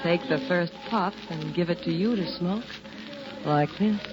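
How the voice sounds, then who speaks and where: An actress playing a sultry, coy nightclub singer who flirts for show in a 1940s radio drama. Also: female voice, on the low side, unhurried, over music, natural voice. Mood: coy, teasing. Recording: dull old 1940s radio recording.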